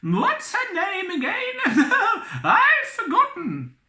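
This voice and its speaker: Elizabeth, a squeaky giggly woman. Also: wildly swooping pitch, extremely slow, pushed and bright, roomy sound, full character voice. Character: high-pitched, giggly woman in sack dress.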